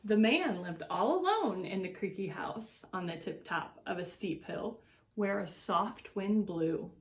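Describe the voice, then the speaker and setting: A woman reading a picture book aloud to children as an educator, with deliberate, expressive storytelling and drawn-out words. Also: medium pitch.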